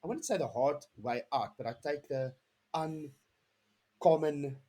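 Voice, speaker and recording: A man's voice: mid-range voice, expressive, unhurried, mellow, husky. A man in his thirties with a South African accent, a podcast guest telling his story in an earnest, rambling way with many restarts. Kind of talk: casual interview chat.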